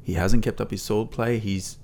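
A man with a fairly low voice co-hosts a film podcast. He talks in a casual, playful way, dropping in short exclamations as he goes. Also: fairly even intonation, normal speed, darkish tone.

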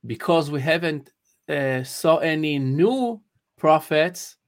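Male panelist, tentative and hesitant, self-deprecating; slightly high voice. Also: animated, slow. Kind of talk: casual online panel discussion.

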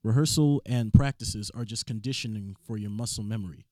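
A man with a rough, raspy voice, talking casually about music as a guest in a studio room. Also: on the low side, brisk, noisy background. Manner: relaxed, rambling casual chat with restarts. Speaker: blues and soul musician, podcast guest. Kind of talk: casual chat.

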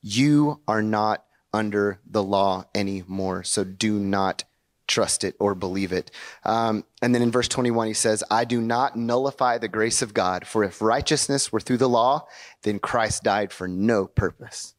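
A young male pastor preaching a sermon to his congregation in a church, in a conversational and animated way, often putting questions to the room. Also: lowish voice, measured pace. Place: church sanctuary before a congregation.

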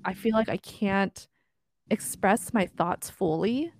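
A woman's voice: medium pitch, slow pace, darkish tone, no echo. Reflective young woman, dry close sound. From Korea. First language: Korean. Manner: reflective, thinks aloud with many likes and you-knows. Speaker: podcast co-host. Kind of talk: casual chat.

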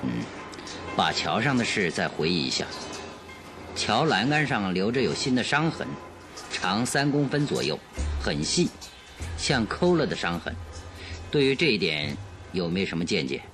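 Radio drama detective: low voice, lively intonation, shrewd, probing, authoritative. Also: male voice, normal speed, long pauses, over music. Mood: analytical. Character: shrewd, composed male detective questioning a witness.

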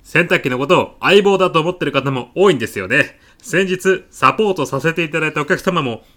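A man's voice: fairly high pitch, animated, a little fast, sharp tone. A man playing a polite, chatty AI concierge on a customer support line in a comedy audio drama. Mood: sympathetic, salesy. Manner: courteous, smooth-talking, over-familiar.

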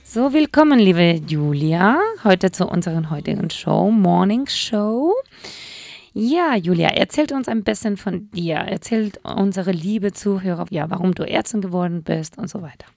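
A woman hosting a bilingual radio morning show, lively and teasing with her co-host and her guest. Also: fairly low pitch, normal speed, vocal fry, dry recording. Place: radio studio.

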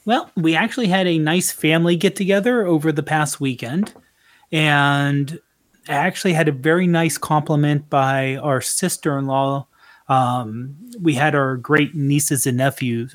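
A man's voice: fairly high pitch, measured pace, dry recording. A middle-aged man co-hosting a casual podcast chat, rambling a little and correcting himself as he goes. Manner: rambling, self-correcting, chuckles often. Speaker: podcast co-host.

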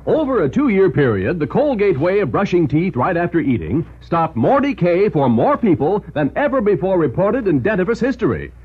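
A man's voice: sits high, sing-song, moderate pace, few pauses, slightly rough. A man with an American accent reading a scripted commercial in mid-century radio style. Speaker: commercial announcer. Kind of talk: 1940s-50s radio advertising read. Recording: dull, fuzzy old radio recording.

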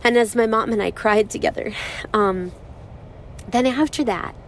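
Raspy-voiced woman, softly grieving. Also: a little high, a little hiss or hum. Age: 30s. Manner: slow, soft-spoken, halting with pauses. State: raspy from crying. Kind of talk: personal reflective monologue.

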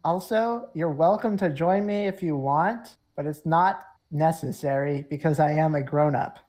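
A woman's voice: deep voice, normal speed, mellow, close to an everyday voice. A woman voicing a grown, independent female traveling companion in a tabletop game, earnest, cheerful and plain-spoken. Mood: self-assured.

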